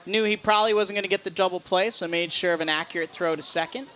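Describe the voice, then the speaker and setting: Bright-voiced male baseball color commentator. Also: sits high, fairly even intonation, fast talker, some chatter behind. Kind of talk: conversational live sports analysis. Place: outdoor baseball ballpark. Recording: faint ballpark background noise.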